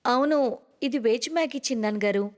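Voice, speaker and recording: A woman's voice: on the high side, brisk, darkish tone, long reverb tail, natural voice. A woman voicing a carefree, naive young daughter-in-law in a story, with a casual, questioning manner. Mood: polite.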